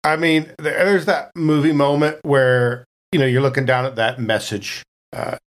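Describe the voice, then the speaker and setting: Mid-pitched man playing a tabletop game. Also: fairly even intonation, moderate pace. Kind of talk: casual game chat, thinking aloud.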